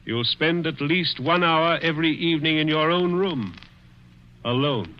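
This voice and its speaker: A man's voice: a little high, lively intonation, unhurried. An actor playing a cruel, dying rich man who taunts with sly chuckles in a 1940s radio drama. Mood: menacing. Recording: dull old radio broadcast.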